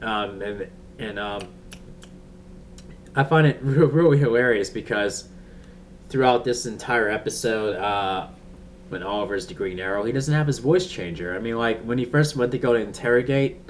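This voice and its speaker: Deep-voiced man rambling, distant mic. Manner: halting, rambling, searching for words. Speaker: TV episode reviewer. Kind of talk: casual unscripted review chat. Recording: distant mic with faint background noise.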